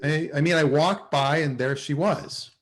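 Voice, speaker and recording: A man acting a flustered, smitten office salesman in a sitcom table read, with a halting, excitable delivery. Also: a little high, close to an everyday voice. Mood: elated. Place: video call at a table read.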